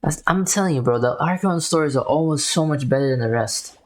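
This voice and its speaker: A woman streams a video game and reacts off the cuff. The voice is extremely low for a woman, and the talk is informal and slangy. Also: fairly quick, a little mellow. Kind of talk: casual reactions while playing a game.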